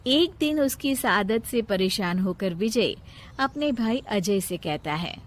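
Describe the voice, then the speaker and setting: A woman's voice: mid-range voice, lively intonation, unhurried. A woman storyteller reading scripted narration of a moral family story.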